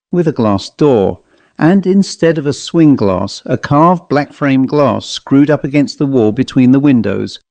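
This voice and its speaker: A man's voice: medium pitch, warm tone, no echo. A man reading in character as a chatty, genial commercial traveller telling an anecdote in colloquial style for an audiobook ghost story. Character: dapper commercial traveller, chatty male raconteur of ghost stories.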